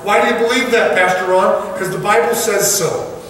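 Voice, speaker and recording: A man's voice: high voice, fairly even intonation, normal speed, a bit bright, a little hiss or hum. A male pastor preaching a sermon, earnest and pressing the congregation with repeated questions. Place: large church sanctuary. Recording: echoey hall, mic far off.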